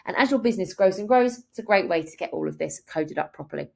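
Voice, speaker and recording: A woman in her thirties giving an explanatory, step-by-step software walkthrough as a tutorial presenter. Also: lowish voice, animated, fast talker, creaky. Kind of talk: instructional screen-share walkthrough.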